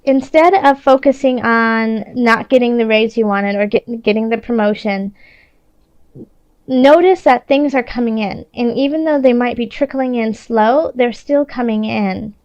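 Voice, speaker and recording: A woman's voice: fairly high pitch, measured pace, darkish tone, clean tone, dry recording, highs cut off. A woman giving a casual, improvised tarot reading in a conversational, reassuring way, often restarting her thoughts. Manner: reassuring, encouraging. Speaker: tarot reader.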